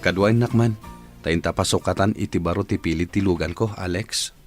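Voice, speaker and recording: A man's voice: low voice, brisk, faint music behind, natural voice. A man playing an eager, genial engineer in a radio comedy drama. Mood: businesslike. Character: eager, lovestruck male engineer, genial boss, marriage-minded. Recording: faint vehicle noise behind.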